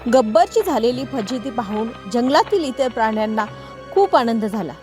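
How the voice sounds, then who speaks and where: Female storyteller for children's tales, higher-pitched voice, light music underneath. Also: expressive, normal speed, darkish tone. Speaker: narrator. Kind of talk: animated children's moral story narration.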